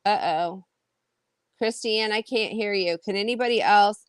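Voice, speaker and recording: A woman's voice: mid-range voice, unhurried, clean tone, dry recording, studio quality. A woman co-hosting a casual livestream chat, greeting viewers as "honey" and keeping the talk light. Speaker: co-host.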